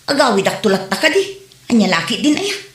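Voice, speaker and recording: A woman's voice: fairly high pitch, sing-song, fairly quick. An actress playing an evasive young working wife and mother in an audio drama, casual and full of excuses. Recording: roomy, clearly noisy recording.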